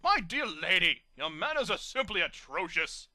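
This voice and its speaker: A man's voice: sits high, lively intonation, pushed and bright, dry recording, studio quality, heavily acted. A man doing a snooty monocled pegasus. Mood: haughty. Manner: snooty, haughty, drawn-out.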